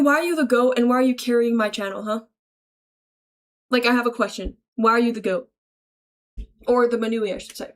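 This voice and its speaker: Female streamer, higher-pitched, informal and slangy, chummily thanking and answering her chat. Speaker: livestreamer greeting chat. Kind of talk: casual livestream chat, reading and answering viewer messages aloud.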